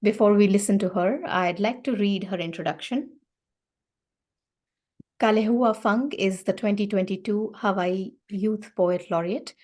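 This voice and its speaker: A woman's voice: mid-range voice, a little mellow. A woman hosting a poetry night who introduces a featured poet in an earnest way, with many filler words. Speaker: event host and poet.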